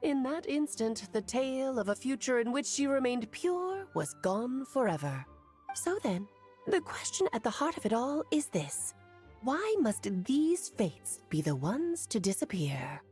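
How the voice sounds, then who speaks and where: A woman's voice: on the high side, sing-song, measured pace, a little mellow, soft background music. A grand, teasing witch. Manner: refined, lecturing, teasing. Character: grand, playful female witch, worldly and theatrical. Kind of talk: game voice acting.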